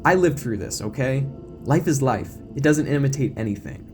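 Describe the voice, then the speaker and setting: A man voicing an excitable, sarcastic young horror-film geek in an audio drama, argumentative and wisecracking, with music behind him. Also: medium pitch, moderate pace, lightly acted. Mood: insistent.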